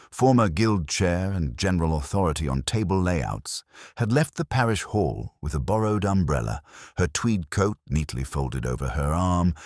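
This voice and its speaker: Very deep-voiced male story narrator. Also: no echo, studio quality. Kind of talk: scripted mystery story narration.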